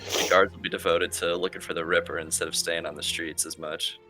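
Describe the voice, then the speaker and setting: A man playing a tabletop roleplaying game, chatting casually in a halting way and often repeating himself. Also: sits low, little pitch movement, bright, forceful tone, low-quality recording. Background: music.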